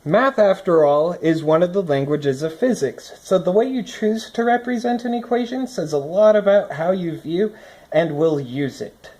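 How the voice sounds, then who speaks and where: Mid-pitched explainer reading a lesson aloud. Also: normal speed, a little mellow. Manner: explanatory, think-aloud asides. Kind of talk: scripted read-aloud lesson with commentary. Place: indoors at a desk. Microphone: phone recording from above the desk.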